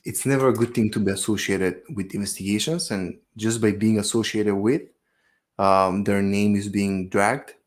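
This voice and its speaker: A man's voice: lowish voice, fairly even intonation, moderate pace. A man co-hosting a podcast in casual chat, blunt and teasing, swearing freely. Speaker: podcast co-host.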